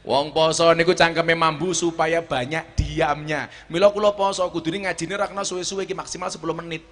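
A middle-aged man with a Javanese accent preaching a humorous sermon on an outdoor stage into a handheld mic, joking and swinging between shouts and low asides. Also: flat delivery, a little fast, faint music behind. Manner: walks the stage with a cane. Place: outdoor stage before a large crowd.

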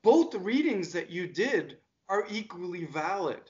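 A man's voice: high-pitched, measured pace. A man in his fifties from the United States, talking animatedly on a video call as a diction coach and asking pointed questions. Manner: energetic, gestures a lot, asks pointed questions. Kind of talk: casual video call discussion. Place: home music room. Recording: dull lo-fi call audio.

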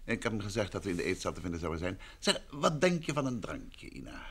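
An actor playing a composed, courteous married gentleman sleuth in an old Dutch radio drama. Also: low-pitched, huge pitch swings, fast talker, exaggerated voice. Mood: brisk, affable.

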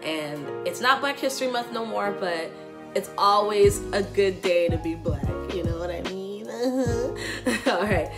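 A woman's voice: mid-range voice, animated, a little slow, music in the background. A young woman with a nasal, congested voice from the flu, giggling often as she reacts to clips from her home. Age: young adult. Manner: giggly, laughs often between remarks. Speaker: YouTube reaction host. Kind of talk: casual reaction commentary. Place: small room at home, indoors.

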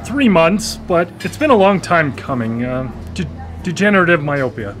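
A man's voice: fairly high pitch, very animated, normal speed, fairly bright tone, faint music behind. A man playing a stammering newly blind man. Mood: awkward, wry. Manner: hesitant and stammering, wry humor. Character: newly blind man, awkward, self-deprecating. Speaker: lead character in a radio drama. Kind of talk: live radio drama acting. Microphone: studio microphone.

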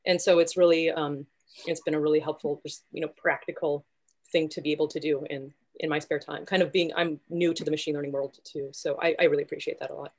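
A woman's voice: deep voice, level tone, fast. A woman member of the group chatting casually and rambling a bit over a video call. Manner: rambling, many likes and fillers. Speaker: community member. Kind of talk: casual chat.

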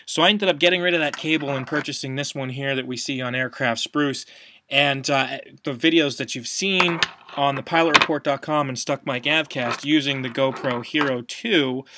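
A man's voice: mid-range voice, normal speed, heavy background noise. A man in his thirties giving a casual, plain-spoken, step-by-step demonstration as a host, recorded indoors at a desk. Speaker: podcast host, product demonstrator. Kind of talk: casual instructional video demonstration. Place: small wood-panelled room at home, indoors.

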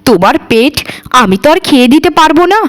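A woman voicing a greedy, scheming, sharp-tongued housemaid in a cartoon, with dramatic, emphatic repetition. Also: sits high, lively intonation, fairly quick. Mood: scornful, boastful. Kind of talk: Bengali cartoon voice acting.

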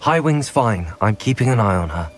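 A young man with a British accent playing a polite, composed student wizard hero in a video game, speaking in a measured way. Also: on the low side, animated, soft background music, close to an everyday voice. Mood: polite, reassuring. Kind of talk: video game cutscene acting.

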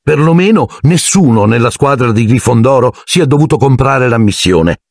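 A man voicing a clever, sharp-tongued schoolgirl in a tart, earnest tone. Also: fairly low pitch, animated, fast, breathy edge, no room sound, studio quality.

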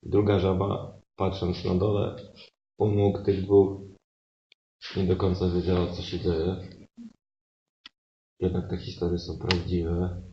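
A man's voice: low voice, monotone, slow pace, long pauses. A man narrating an improvised comic tale as a stage performer. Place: live venue, far from mic. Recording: muffled echoey stage recording, faint noise.

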